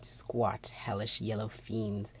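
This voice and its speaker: A narrator of unclear sex giving a theatrical dramatic reading of a gothic horror story, at times dropping to a breathy near-whisper. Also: mid-range voice, flat delivery, takes it slowly, slight background noise.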